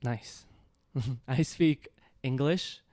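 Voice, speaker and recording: A man co-hosting a casual podcast chat, answering in an easygoing, measured way and laughing often. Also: medium pitch, warm tone, no room sound, studio quality. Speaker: podcast co-host.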